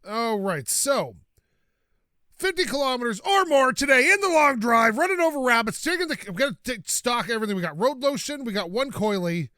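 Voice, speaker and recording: A man's voice: very high-pitched, lively intonation, brisk, pushed and bright, no room sound, clean studio sound. A man from North America hosting a let's-play video, chatting and joking casually while he plays, and he has the sniffles. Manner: chatty, jokey, rambling asides. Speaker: gaming video host.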